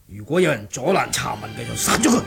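An actor playing a scheming, bossy wandering monk with a creaky, gravelly voice in an audio drama. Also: male voice, medium pitch, sing-song, fast talker, over music. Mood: bossy, plotting. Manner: bossy, conspiratorial, grunting when playing mute. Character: scheming wandering monk who feigns muteness before others. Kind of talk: Cantonese audio drama acting.

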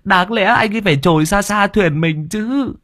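A man voicing a clumsy, timid young helper who stammers and whines in a high voice. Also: moderate pace. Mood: whiny. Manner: stammering, whiny, high and excited.

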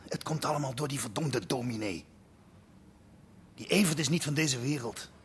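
A man's voice: mid-range voice, normal speed, slightly rough. An actor playing an anxious, aggrieved corrupt policeman in a radio drama, defensive in manner. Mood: apologetic.

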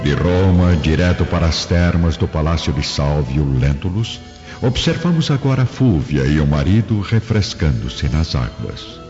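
A man's voice: very deep voice, lively intonation, measured pace. A man delivering solemn, scripted narration for a radio drama. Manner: solemn storytelling. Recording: muffled, echoey reverb, music behind.

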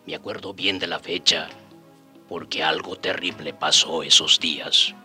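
A slow, haunted, grieving witness. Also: male voice, extremely low pitch, fairly even intonation, sharp tone, heavily acted. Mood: wistful, reminiscing. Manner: slow, sorrowful storytelling breaking into anguished outcry. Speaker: narrator and character actor. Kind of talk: radio drama first-person narration and acting. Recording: radio drama with background music.

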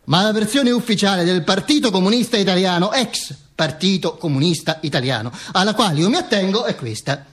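An actor playing a dead, vain fascist dictator who narrates his own afterlife in a halting, mock-solemn stage monologue. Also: high voice, lively intonation, a bit bright, breathy edge, exaggerated voice. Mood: halting, rambling. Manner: halting, stammering repetitions, mock-solemn declaiming. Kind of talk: theatrical monologue, narrating to an audience.

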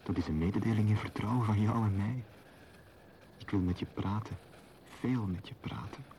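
An actor playing a tender, yearning lover, speaking intimately and earnestly in a lyrical radio play style. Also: male voice, on the low side, moderate pace, warm tone, a little hiss or hum, close to an everyday voice. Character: tender, yearning male lover confessing himself. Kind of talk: poetic radio play dialogue.

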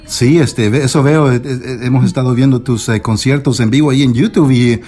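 A man's voice: mid-range voice, fairly even intonation, fairly quick, warm tone, soft background music. A man hosting a Spanish-language radio show, interviewing a guest with many fillers and restarts. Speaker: radio host.

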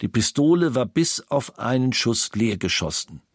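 A male investigator reads a crime-scene report in a mid-pitched voice. He is formal, clinical and detached, in a radio documentary style, recorded dry with no room sound. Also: sing-song, moderate pace, no echo. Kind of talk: scripted documentary reading of a police report.

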